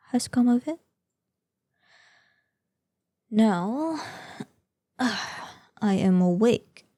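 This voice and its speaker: Woman doing an ancient lady dragon for audio roleplay, really dark soft breathy voice, slow old-timey formal talk, proud but curious. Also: mid-range voice, dry recording. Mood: solemn, vigilant, then weary, impatient. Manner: formal, archaic, deliberate phrasing. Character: ancient female dragon, proud, wary, curious, newly awakened. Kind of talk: scripted audio roleplay addressed to the listener.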